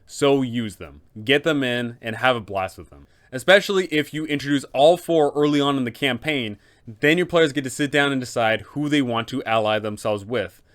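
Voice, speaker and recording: A man's voice: mid-range voice, moderate pace, fairly bright tone. A man hosting an explainer for game masters, speaking in an emphatic, enthusiastic way. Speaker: host, game master giving advice. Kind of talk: semi-scripted explainer on tabletop roleplaying.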